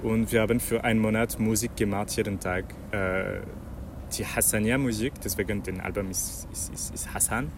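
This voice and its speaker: A man's voice: fairly low pitch, steady tone, normal speed. Hesitant man, noisy street recording. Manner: hesitant, many fillers and restarts. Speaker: musician guest. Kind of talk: interview clip, searching for words.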